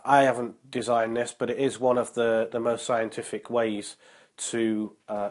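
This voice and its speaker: A young man vlogging about fitness, his voice a little nasal from a cold and his throat sore, upbeat and motivational, with many ums and uhs, slowing down to spell out figures. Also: mid-range voice. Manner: slow and careful when reading out numbers. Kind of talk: casual vlog talk and explainer.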